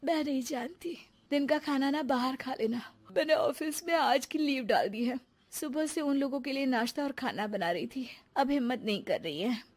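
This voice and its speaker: A woman's voice: high-pitched, moderate pace. A woman voicing a put-upon, exasperated newlywed working wife in a cartoon story, with a plaintive, complaining manner. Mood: weary. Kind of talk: animated cartoon story dialogue.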